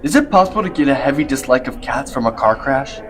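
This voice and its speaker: Dazed, animated boyfriend played by a man. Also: mid-range voice, fast, soft background music, natural voice. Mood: dazed, cheerful. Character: dazed, dim young boyfriend in a hospital bed. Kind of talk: student radio play acting.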